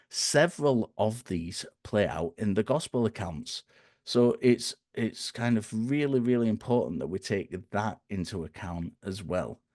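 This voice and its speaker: Male guest, croaky and hoarse, thoughtful, restarts sentences, mid pitch, dead-dry call audio. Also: darkish tone, dry recording. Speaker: guest speaker. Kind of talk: conversational explanation.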